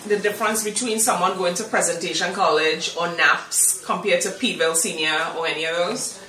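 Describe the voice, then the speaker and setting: An interviewer with a Trinidadian accent, asking questions in casual chat and pausing to find the words. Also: mid-range voice, sharp tone, a little hiss or hum. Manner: hesitant, with fillers and restarts while asking. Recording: echoey room.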